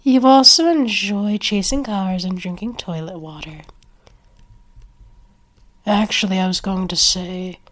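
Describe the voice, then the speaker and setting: A woman's voice: medium pitch, a little slow, long pauses, a bit bright. A woman performing an acted ASMR roleplay.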